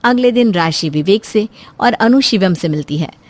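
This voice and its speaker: A woman's voice: mid-range voice, lively intonation, moderate pace, darkish tone, dry recording. A woman narrating a scripted family moral story as its storyteller.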